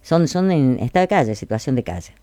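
A woman's voice: rumbling low, big pitch swings, fast, mellow, dry recording. A woman with an Argentine accent hosting a casual radio chat, chatty and colloquial, repeating phrases for emphasis. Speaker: radio host.

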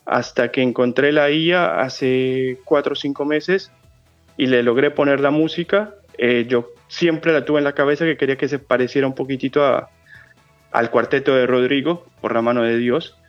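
A man's voice: medium pitch, steady tone, moderate pace, dry recording, dull audio, faint music behind. A man in his thirties from Colombia, a guest calling in to a radio show, chatting casually with many fillers and restarts. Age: 30s. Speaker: phone-in guest.

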